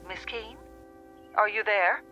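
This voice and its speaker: A woman's voice: medium pitch, steady tone, slow, pushed and bright, dull audio. An actress playing a polite, matter-of-fact female telephone operator, patient and firm, in vintage radio drama style. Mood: grave, concerned. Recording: radio drama with music underneath.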